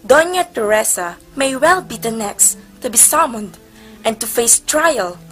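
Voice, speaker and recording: A woman's voice: fairly high pitch, sing-song, a little slow, fairly bright tone, faint music behind. A woman playing a haughty, suspicious nun in a stage play, with scornful and emphatic delivery. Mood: suspicious, disapproving. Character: haughty, suspicious nun sneering at Teresa's arrogance. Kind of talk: amateur stage play acting.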